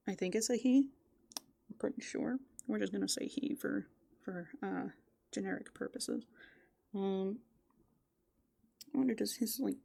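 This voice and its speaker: A woman doing improvised ASMR roleplay, softly and playfully musing aloud, slipping into breathy whispers close to the microphone. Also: mid-range voice, dry recording. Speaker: ASMR performer in a raccoon avatar persona.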